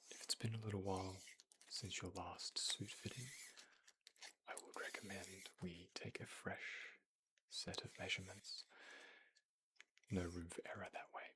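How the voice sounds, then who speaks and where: A man plays a courteous boutique tailor in an ASMR roleplay. His low-pitched voice is very breathy and often drops into a whisper. He speaks slowly and gently, with polite attentiveness. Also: exaggerated voice, rough recording. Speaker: ASMR performer.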